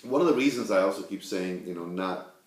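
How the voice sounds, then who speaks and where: A man's voice: low-pitched, animated, a little fast. Talkative Cuban man in an echoey room. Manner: talkative, irreverent, mildly profane. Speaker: host of a cigar show. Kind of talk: casual, rambling banter among friends.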